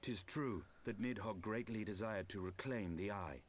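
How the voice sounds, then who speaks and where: An actor playing a stern, grave wandering knight in a fantasy game, speaking deliberately in formal, archaic language. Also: male voice, lowish voice, a little hiss or hum, lightly acted. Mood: grave, firm. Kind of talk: theatrical fantasy game acting.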